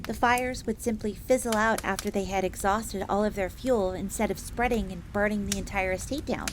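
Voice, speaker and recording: A woman's voice: mid-range voice, fairly even intonation, normal speed, lightly acted. A woman voicing a polite, eager fox maid assassin who tells her tale in the third person in an audio roleplay. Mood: earnest storytelling. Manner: refers to herself in third person, genteel. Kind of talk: in-character storytelling, audio roleplay. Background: crackling fire ambience.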